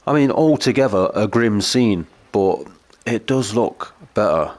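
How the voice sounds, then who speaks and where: Brief: British male gamer, slightly low voice, stuffy from a cold, eager and chatty. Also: lively intonation, a little slow, darkish tone. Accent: British English. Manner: enthusiastic, chatty, gushing over detail. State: congested from a cold. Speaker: gaming video creator. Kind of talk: gameplay commentary.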